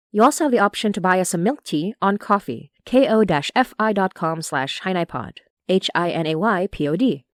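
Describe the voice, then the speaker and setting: A woman's voice: mid-range voice, no echo, studio quality. A woman hosting a podcast, reading scripted announcements in a friendly manner. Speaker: podcast creator and host.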